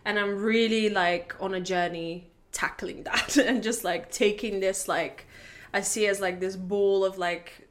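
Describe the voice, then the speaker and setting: A woman's voice: mid-range voice, steady tone, unhurried, few pauses. A woman in her twenties who grew up in Latvia, talking openly and casually on a podcast, with frequent fillers and laughter. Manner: candid, chatty, laughs often, many fillers. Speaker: podcast co-host sharing her story.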